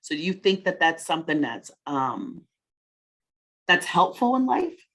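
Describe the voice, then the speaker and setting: Lower-pitched woman hosting children's story time. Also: very animated, a little slow, a bit bright. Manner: encouraging, teacher-like, echoes the child's answers. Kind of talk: conversational presenting to children, with leading questions.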